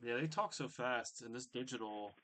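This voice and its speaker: A man's voice: mid-range voice, normal speed, fairly bright tone, no echo, rough recording. A man hosting a live stream in a casual, distracted, muttering way.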